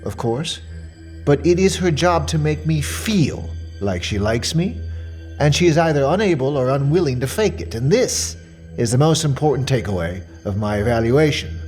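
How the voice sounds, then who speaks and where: An actor playing a fussy, self-important mystery shopper with quiet menace, delivering a precise, deliberate monologue in the form of a report. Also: male voice, on the high side, animated, faint music behind, natural voice. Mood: wounded, ominous. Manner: pompous, precise, deliberate, dwelling on words. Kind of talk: dramatic monologue as a spoken questionnaire report.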